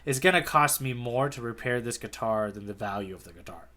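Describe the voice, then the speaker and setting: A man with a bright, forward voice at an ordinary male pitch. He talks as a podcast co-host in loose conversation, circling back and repeating words as he builds his thought. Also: a little fast. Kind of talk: casual conversational discussion.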